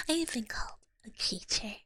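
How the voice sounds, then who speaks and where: A young woman whispering softly to her stream chat in an ASMR style, giggling often. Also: dry recording. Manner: soft whisper with frequent giggles.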